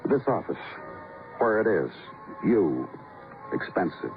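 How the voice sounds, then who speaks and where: Low-voiced, brooding radio detective. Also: male voice, big pitch swings, slow pace, heavily acted. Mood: probing. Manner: clipped questions, brooding lyrical delivery. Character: terse, world-weary male police detective, moody and poetic. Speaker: lead actor and narrator of a radio detective drama. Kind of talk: old-time radio noir acting, poetic second-person narration. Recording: muffled old radio broadcast with music.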